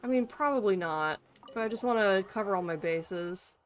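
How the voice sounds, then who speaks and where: A woman's voice: mid-range voice, lively intonation, normal speed. A woman live streaming a video game with casual, blunt, sweary, informal commentary.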